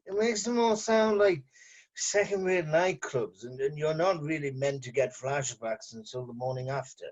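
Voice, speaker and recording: A man's voice: on the high side, moderate pace, a little mellow, muffled recording, lightly acted. A man playing a jaded, sarcastic newspaper journalist in an amateur script table read, with theatrical delivery. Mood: tipsy bravado. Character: sardonic, jaded male newspaper journalist, drunk when young.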